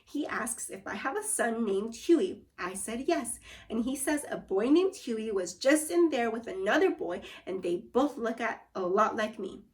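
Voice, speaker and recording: A woman's voice: fairly high pitch, animated, unhurried. Woman reading as an exasperated, insistent dad. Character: exasperated, bewildered father demanding an explanation from sons.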